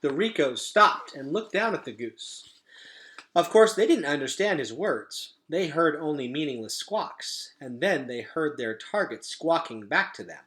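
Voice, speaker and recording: A man reading a children's story aloud as narrator, in an expressive storytelling style. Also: on the high side, measured pace.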